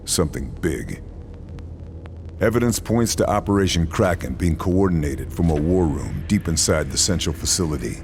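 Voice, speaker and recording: An actor playing a determined sniper hero, narrating a mission with dry, clipped lines over cutscene music. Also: male voice, low-pitched, lively intonation, normal speed, background noise. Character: hardened sniper hero, middle-aged man. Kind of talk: game narration.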